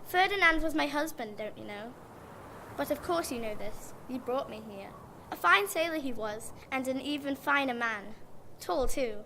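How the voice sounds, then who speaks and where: A woman's voice: sits high, animated, moderate pace, pushed and bright, slight background noise, full character voice. A young girl playing a lonely, grieving widowed schoolmistress in a radio play. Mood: wistful, regretful. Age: child or young performer.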